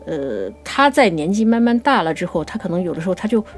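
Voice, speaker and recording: A woman with a fairly low voice talks through literature as a podcast co-host. She explains in a thoughtful, conversational way and restates her points. Soft music plays behind her. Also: very animated, fast talker.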